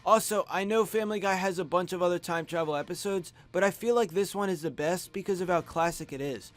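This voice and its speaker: A man's voice: high-pitched, brisk. A young adult man giving scripted top-five countdown commentary as a video host, speaking into a close microphone. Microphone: close USB studio microphone.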